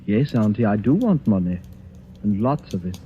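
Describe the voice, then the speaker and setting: A man's voice: on the low side, lively intonation, normal speed, dark tone, slight background noise, lightly acted. Man playing sly, murderous young radio villain. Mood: mock-affectionate, sly. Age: young adult. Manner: mock-affectionate, taunting. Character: young, greedy, smooth-talking murderous nephew. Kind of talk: old-time radio horror acting. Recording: muffled vintage radio recording, music behind.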